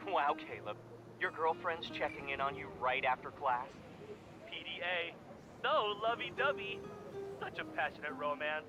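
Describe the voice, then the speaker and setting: A man acts a young male cadet, mocking and playful, in a romance game story. The voice is fairly high for a man and sounds distant, as if over a radio, with music clearly behind it. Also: normal speed. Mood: mocking, teasing. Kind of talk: romantic game fan dub acting.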